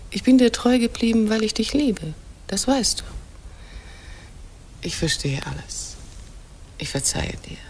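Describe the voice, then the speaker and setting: A woman's voice: a little high, very animated, unhurried, pauses often, slight background noise. A woman playing a proud, faithful but hurt queen in a radio play, solemn and insistent. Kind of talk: classic German radio play acting.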